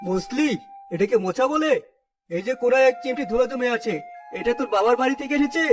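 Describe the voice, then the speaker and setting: A harsh, haughty shopkeeper played by a man from a cartoon drama. His voice sits high, scolding and looking down on everyone, with music clearly behind him. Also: animated, quick pace. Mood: angry, scolding. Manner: scolding, contemptuous, domineering. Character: harsh, haughty male sweet-shop owner obsessed with cleanliness. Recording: clear background music throughout.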